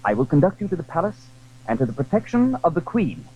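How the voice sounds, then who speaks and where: A man's voice: a little high, big pitch swings, quick pace, phone-quality sound, voice pushed up. A man acting a courteous, proud young swordsman in a period audio drama, speaking formally and earnestly. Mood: gallant, earnest.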